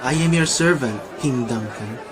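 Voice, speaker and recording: A man's voice: medium pitch, normal speed, fairly bright tone, a faint crowd, natural voice. A man playing a loyal, questioning soldier companion in an amateur radio drama, with an earnest manner.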